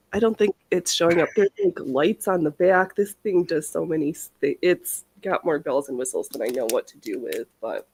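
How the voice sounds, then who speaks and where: A woman's voice: lowish voice, darkish tone, husky, no room sound, low-quality recording. A woman hosting a casual crafting livestream, chatting in a rambling, easily sidetracked way. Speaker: livestream host of a sewing and crafting show.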